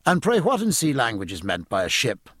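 Learned gentleman landsman performed by a male narrator, formal, curious, precise, animated pitch with a slightly husky grain. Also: a little fast, dry recording, studio quality, exaggerated voice. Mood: courteous, curious. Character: inquisitive, learned gentleman, a puzzled landsman aboard ship. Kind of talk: audiobook character acting.

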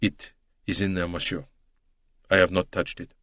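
A man voicing a harassed, excitable French-speaking train conductor in an audiobook, deferential and agitated. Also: sits low, moderate pace, no room sound.

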